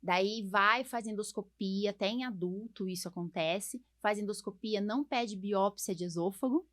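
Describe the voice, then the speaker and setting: A woman's voice: medium pitch, moderate pace. A Brazilian woman, a doctor hosting a podcast, explaining things informally with frequent tag questions and diminutives. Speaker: podcast host, allergist doctor. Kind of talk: casual podcast conversation.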